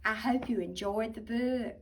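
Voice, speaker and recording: Lady reading a kids book out loud, high voice, big dramatic pauses, bit far from the mic. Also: a little hiss or hum. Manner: expressive, with dramatic pauses and asides to listeners. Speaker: storybook reader. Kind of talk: animated read-aloud of a children's picture book. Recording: voice distant from the mic.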